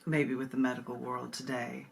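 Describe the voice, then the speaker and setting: Low-voiced woman poet, noisy recording. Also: little pitch movement, moderate pace. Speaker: poet and host of a book reading. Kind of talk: poetry recitation with casual commentary between poems. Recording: clear background noise.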